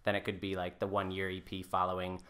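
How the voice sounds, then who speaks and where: A man's voice: low voice, monotone, quick pace, bright, forceful tone. A man in his twenties chatting casually as a guest in an interview. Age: 20s. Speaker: podcast guest, folk musician.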